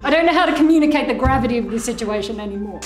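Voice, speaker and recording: A woman's voice: fairly high pitch, animated, very fast. A woman in her thirties chatting casually on camera as a host. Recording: echoey room, music behind.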